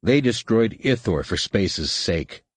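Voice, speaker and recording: A man plays a young space pilot in an audiobook adventure. He is steady and defiant, speaking firmly and curtly in a lowish voice. The recording is dry and close. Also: takes it slowly, slightly rough, dry recording, full character voice. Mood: pleading, defiant.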